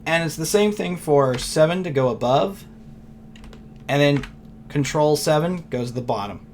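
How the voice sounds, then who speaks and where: Hoarse man rambling through a tutorial. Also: fairly high pitch, expressive, moderate pace, darkish tone, slight background noise. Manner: rambling, loose, thinks aloud with fillers. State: losing his voice, clearing throat. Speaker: software tutorial teacher. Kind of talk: casual screen-recorded tutorial.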